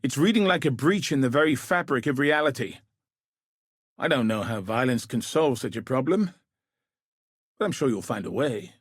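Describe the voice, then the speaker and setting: An actor playing a gruff, dutiful military commander in a video game, with a husky, rough-edged voice and a grave, dry, clipped delivery. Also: male voice, medium pitch, lively intonation, normal speed, pauses often, dry recording. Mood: grave, wary. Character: gruff, dutiful male military commander sending a warrior into danger. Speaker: video game character, quest giver. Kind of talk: scripted video game voice acting.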